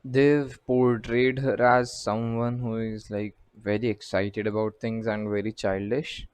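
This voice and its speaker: A man's voice: on the low side, level tone, a little slow, a little mellow, smooth, no room sound. A man commentating casually on a game playthrough as a streamer, musing aloud in an offhand way. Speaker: gaming streamer.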